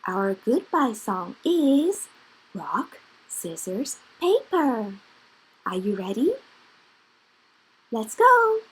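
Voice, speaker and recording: A woman's voice: on the high side, very animated, extremely slow, long pauses, husky, rough recording. A woman working as a children's teacher, giving short, simple, encouraging instructions in a lesson for young children.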